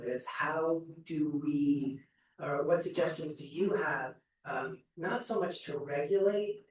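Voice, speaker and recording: A man who teaches, asking the panel a long, earnest question and often restarting his phrases. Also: fairly high pitch. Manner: earnest, searching, many restarts. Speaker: audience member asking a question, educator. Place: large event hall. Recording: echoey hall, voice from far off.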